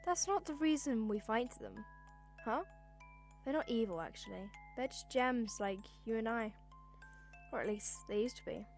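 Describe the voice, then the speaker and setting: A prim and patient motherly gem from a fan-made cartoon, performed by a woman. The voice is on the high side, with music running behind it. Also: normal speed, creaky. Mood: gentle, serious. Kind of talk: amateur comic dub acting.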